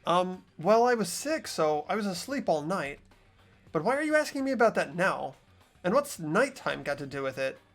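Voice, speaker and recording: A man's voice: high voice, sing-song, normal speed, fairly bright tone, soft background music, exaggerated voice. A man voicing an earnest, puzzled teenage boy who thinks aloud while investigating in a visual novel game. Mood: uncertain. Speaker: amateur voice actor in a fan playthrough. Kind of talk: fan-dubbed visual novel acting and narration.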